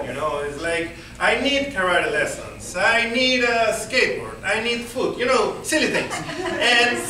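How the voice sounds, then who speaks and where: A young man from Venezuela with a Spanish accent, performing a self-deprecating stand-up set and laughing at his own jokes. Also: high voice. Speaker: stand-up comedian. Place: comedy club stage. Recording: echoey club taped from far off, noisy crowd.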